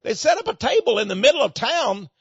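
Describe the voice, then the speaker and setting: Sarcastic high-voiced male radio host. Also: sing-song, fast, slightly rough, no room sound, lo-fi sound. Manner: sarcastic, mocking; rambling, addresses listeners as folks. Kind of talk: radio talk monologue.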